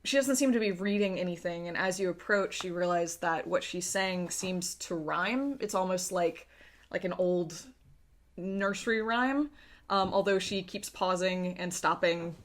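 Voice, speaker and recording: A woman narrating a tabletop game as game master, conversational and pausing to search for words. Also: on the low side.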